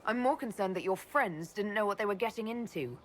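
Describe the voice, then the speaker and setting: Game casting: actress playing stern female commander, medium pitch, measured and responsible, slight ambient noise behind. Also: lively intonation, natural voice. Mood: reproving. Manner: measured, serious, reproving. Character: level-headed woman commander, stern and responsible leader. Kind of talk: video game voice acting.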